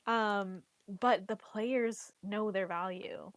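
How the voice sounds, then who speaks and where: A woman recovering from a cold talks in a congested, slightly irritated voice at a middling pitch. She chats loosely and freely, filling her talk with "like" and "literally". The sound is dry and close, with no room around her. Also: slow, no echo. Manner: chatty, heavy use of "like" and "literally". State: congested, getting over a cold. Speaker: trading card game player and content creator. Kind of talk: casual chat.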